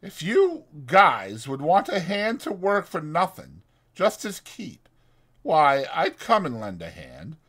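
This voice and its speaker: A bitter loner of a ranch stable hand, acted by a male narrator. The voice is forceful and raised somewhat in pitch, with blunt, rough country phrasing for an audiobook drama. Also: huge pitch swings, takes it slowly, exaggerated voice. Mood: hesitant, wistful. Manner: blunt, dismissive, rough rural speech.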